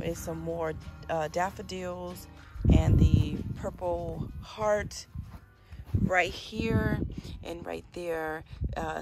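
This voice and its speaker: A woman hosting a gardening channel, walking viewers through her backyard garden outdoors in a casual, chatty way, filmed on a handheld phone. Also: fairly low pitch. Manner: chatty, addresses viewers as you guys. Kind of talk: casual garden tour walkthrough. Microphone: handheld phone camera.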